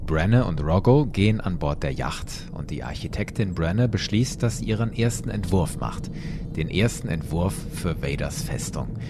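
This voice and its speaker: A man's voice: deep voice, expressive, moderate pace, noisy background. A man narrating a scripted story dramatically, as a host retelling it for listeners.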